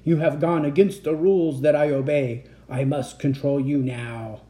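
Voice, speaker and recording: Flat, mechanical, menacing robot guardian, as a reader plays it. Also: mid-range voice, normal speed, natural voice. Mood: cold menace. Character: menacing male robot guardian, rigid and controlling.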